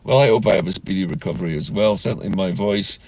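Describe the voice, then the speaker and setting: A man, hoarse from a chest infection, hosting a measured, earnest political vlog from inside his car. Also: sits low, darkish tone, slight background noise. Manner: measured, earnest, with frequent fillers. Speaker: political vlogger host. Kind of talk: talking-head political commentary.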